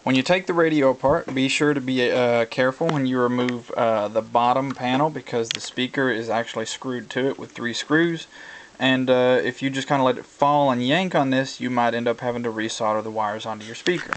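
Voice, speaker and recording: Guy doing a laid-back how-to video, kinda halting, thinking out loud as he explains stuff. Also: mid-range voice, a little hiss or hum. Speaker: hobbyist video presenter. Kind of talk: casual hands-on tutorial talk.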